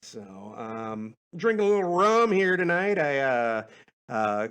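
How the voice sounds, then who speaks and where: A man's voice: medium pitch, sing-song, slow pace, a bit bright, clear, resonant tone. A man hosting a casual solo podcast, meandering through listener questions in a folksy, self-amused way.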